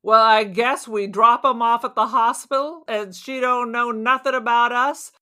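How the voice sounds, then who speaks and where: A woman's voice: on the high side, steady tone, normal speed, bright, forceful tone. A woman playing a suspicious, cynical robber on the run in an audio drama, arguing in sarcastic, streetwise slang. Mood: sarcastic, probing. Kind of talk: audio drama crime acting.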